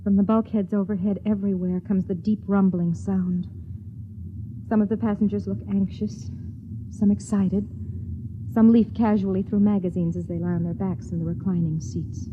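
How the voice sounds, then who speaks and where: An actress playing a lonely, anxious young wife in 1950s radio drama style, dramatic and deliberate. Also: female voice, medium pitch, flat delivery, noisy background, close to an everyday voice. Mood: tense, fearful. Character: lonely, anxious young wife narrating her own story. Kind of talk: 1950s radio drama, second-person narration. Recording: dull old radio recording.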